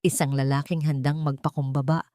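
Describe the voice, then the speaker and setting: A woman's voice: sits low, little pitch movement, brisk, mellow, dry recording, clean studio sound. A woman with a Filipino accent narrating a dramatic audiobook story from a script.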